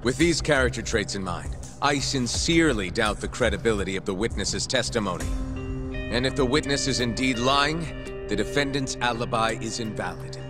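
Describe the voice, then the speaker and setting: A man's voice: mid-range voice, expressive, moderate pace, music in the background, natural voice. A man playing an abrasive, hot-tempered prosecutor in a video game, speaking in a cutting, formal, pointed way. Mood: accusatory. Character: abrasive, accusatory male prosecutor, hot-tempered and self-righteous. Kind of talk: English-dub video game cutscene acting.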